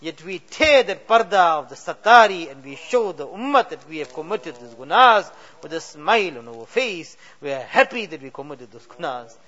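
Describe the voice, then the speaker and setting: A man with a fairly high voice leads a tearful supplication. He pleads in rising cries and then lets each phrase fall away softly. The recording is dull and lo-fi. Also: sing-song, normal speed, hardly any pauses. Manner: mixes English with Urdu and Arabic words. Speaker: imam leading a prayer. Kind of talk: emotional supplication.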